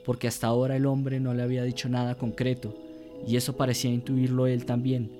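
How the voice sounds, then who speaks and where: A man narrating a scripted radio drama in a solemn, theatrical way with measured phrasing. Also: medium pitch, completely flat delivery, over music.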